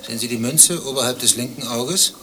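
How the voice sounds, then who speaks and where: A man's voice: mid-range voice, fairly quick, bright, forceful tone, slight background noise, lightly acted, poor audio. An actor playing a contrarian, dryly philosophical zoo visitor in a radio play, pedantic and probing in his talk. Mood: dry, dismissive.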